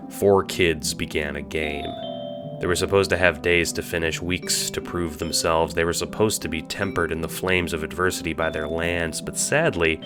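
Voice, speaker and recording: A man performs a sly puppet-master of a storyteller in an audio drama, with a very deep male voice. The delivery is theatrical and knowing, made to toy with whoever listens. Music plays clearly underneath. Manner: teasing, mock-cheerful, swooping between hushes and exclamations.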